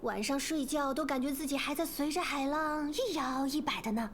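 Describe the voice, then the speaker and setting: A woman playing a bubbly, excitable young woman in an anime game, telling things in an animated, cheerful way. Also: high voice, brisk, lightly acted. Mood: wondering, eager. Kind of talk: scripted game dialogue in Mandarin.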